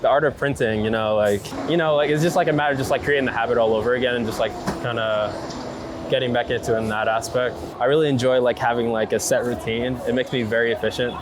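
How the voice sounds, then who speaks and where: A young man in casual, slangy conversation, speaking in halting phrases with lots of filler words. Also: medium pitch, steady tone. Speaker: street photographer being interviewed. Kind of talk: casual chat on the street. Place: outdoors on busy city streets. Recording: loud city traffic noise outdoors. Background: loud urban ambience and passing vehicles.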